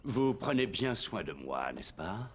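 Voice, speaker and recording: A man's voice: lowish voice, sing-song, unhurried, slight background noise. A man acting a suave, menacing official in a dubbed cartoon, with a silky, commanding and insinuating delivery. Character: suave, menacing male official addressed as Excellency. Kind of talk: dubbed animated series acting. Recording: dull sound under loud music.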